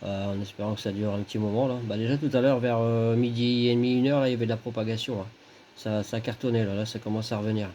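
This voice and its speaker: Mellow man chatting on amateur radio. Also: on the low side, flat delivery, fast. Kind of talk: casual radio contact chat, signal reports. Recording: faint background noise, radio contact.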